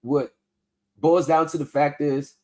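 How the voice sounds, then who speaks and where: A man with a slightly raised speaking pitch talks in a loose, conversational way. He reads lines from a form aloud, then breaks them down with blunt skepticism. He sounds like a livestream host talking straight to his viewers. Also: steady tone, slow, warm tone. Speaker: livestream host debunking scams. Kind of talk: casual explanatory commentary.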